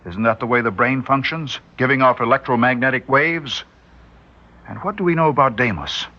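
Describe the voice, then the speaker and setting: A man's voice: medium pitch, moderate pace, pushed and bright, muffled recording, close to an everyday voice. An actor playing an earnest, worried male scientist in an old radio drama. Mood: grave. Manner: earnest, speculative, urgent. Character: scientist doctor, grave, warning, male. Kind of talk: 1960s radio drama acting.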